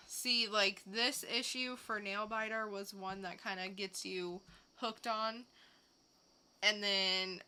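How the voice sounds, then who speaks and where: A woman's voice: mid-range voice, pushed and bright, clear, resonant tone. A woman co-hosting a podcast about comic books, speaking in an informal review-show style. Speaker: podcast co-host. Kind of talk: casual chat and scripted comic previews.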